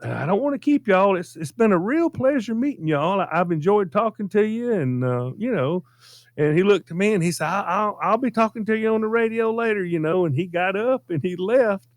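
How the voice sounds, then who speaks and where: A Southern American man tells a casual story in a fairly high voice. His pitch swings widely as he leans on phrases and repeats them. He sounds folksy and emphatic. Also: animated, brisk, no echo. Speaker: storytelling show regular.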